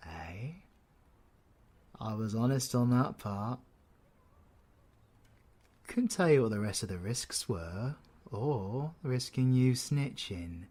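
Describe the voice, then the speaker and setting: Slow-talking cocky British supervillain, acted by a man. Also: medium pitch, animated, darkish tone, slightly rough. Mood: gloating. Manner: taunting, slangy, swears, teasing endearments. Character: cocky menacing British supervillain, flirtatious and taunting. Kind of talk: audio roleplay, villain ASMR acting.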